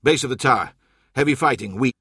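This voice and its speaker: A man voicing an embattled soldier in an audiobook, reporting urgently over a comms link in loud, clipped bursts. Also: mid-range voice, quick pace, slightly rough, no echo, clean studio sound. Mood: urgent, strained.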